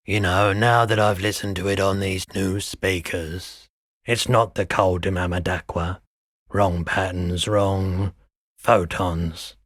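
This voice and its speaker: An actor playing a laid-back, world-weary pawnshop owner in a video game, husky, soft-spoken and wistful, with an unhurried, low-key delivery. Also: male voice, no room sound, clean studio sound, full character voice. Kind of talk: video game character dialogue.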